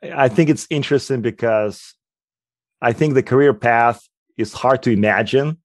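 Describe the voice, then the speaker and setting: A man in his forties from Ukraine, with a Ukrainian accent, answering interview questions in a measured, explanatory way. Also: medium pitch, no echo, studio quality. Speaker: guest, quantitative researcher.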